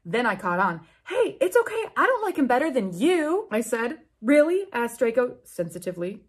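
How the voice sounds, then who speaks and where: Husky young woman reading aloud, sarcastic. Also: fairly high pitch, moderate pace. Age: young adult. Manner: animated, sarcastic, swears freely. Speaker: book video host. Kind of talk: reading fan fiction aloud with casual commentary. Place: small room at home with bookshelves.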